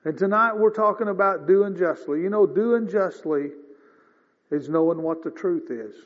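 A man in his fifties preaching a sermon in a folksy, conversational way, often clearing his throat. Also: high-pitched, normal speed. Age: 50s. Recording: dull lo-fi sound with long reverb.

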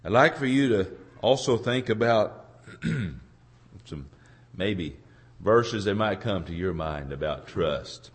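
A hoarse man preaching an informal sermon in a halting, folksy way, searching for his words and clearing his throat. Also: on the low side, expressive. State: hoarse from a cold. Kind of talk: informal sermon with congregation responses.

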